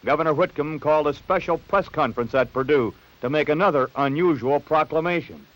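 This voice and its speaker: An American man reading scripted narration for a late-1960s newsreel in a measured, polished announcer delivery. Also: fairly high pitch, rough recording. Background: background music.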